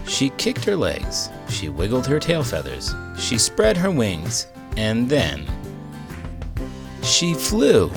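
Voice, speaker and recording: A man reads a children's picture book aloud with playful, expressive storytelling. He takes it slowly, his pitch sweeping widely from line to line. Music plays clearly underneath. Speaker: read-aloud host.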